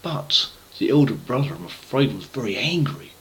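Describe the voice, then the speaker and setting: A man telling a children's parable as a storyteller, with emphatic, deliberate phrasing and faint background noise. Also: mid-range voice, animated, vocal fry, poor audio.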